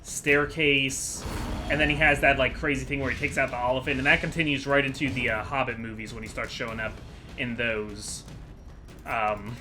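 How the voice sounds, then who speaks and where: A man's voice: mid-range voice, little pitch movement, normal speed, music in the background. A man giving casual, rambling commentary as the host of a gaming video, chatty and digressive as he thinks aloud.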